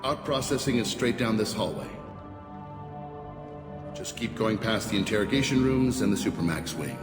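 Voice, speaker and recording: Guy doing a stern alien warden in a game, lowish voice, calculating n self-righteous, loud music behind. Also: animated, lightly acted. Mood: stern, controlled. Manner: measured, authoritative, self-justifying. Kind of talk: video game voice acting. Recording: very echoey cavernous hall, loud music.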